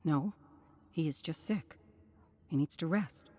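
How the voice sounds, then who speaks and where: Slow, low-voiced woman narrating solemnly. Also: darkish tone. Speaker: narrator of game lore. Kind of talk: scripted dramatic story narration.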